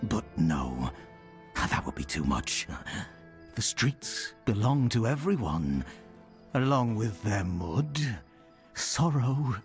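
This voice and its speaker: An actor playing a creepy, fawning, self-abasing scavenger peddler in a video game, speaking in a lingering, obsequious way. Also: male voice, lowish voice, big pitch swings, soft background music. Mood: self-abasing, dreamy. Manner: slow, lingering, obsequious, repeats words, chuckles. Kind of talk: video game character dialogue.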